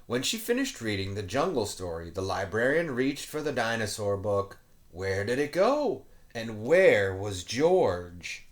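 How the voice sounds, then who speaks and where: Unhurried, lively man reading to children. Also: lowish voice. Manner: animated, playful asides to young listeners. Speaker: children's story reader, teacher. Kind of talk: expressive children's picture book read-aloud.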